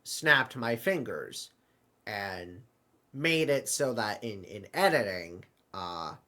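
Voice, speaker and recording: A man hosting an improvised comedy skit, talking in a halting, bemused manner. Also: on the low side, bright, forceful tone. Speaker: host of a comedy web series.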